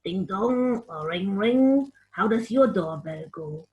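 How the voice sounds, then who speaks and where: A woman storyteller narrating a picture-book story for children, expressive and addressing young listeners with questions. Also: medium pitch, takes it slowly, muffled recording.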